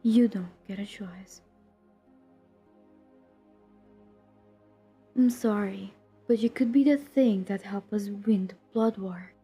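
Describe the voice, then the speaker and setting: A woman playing a centuries-old, guilt-ridden but determined vampire hunter in an intimate audio roleplay, speaking in a hushed, confessional, breathy voice that often drops to a whisper. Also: medium pitch, soft background music. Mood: grim, determined. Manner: hushed, confessional, halting, earnest. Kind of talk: intimate dramatic audio roleplay addressed to the listener.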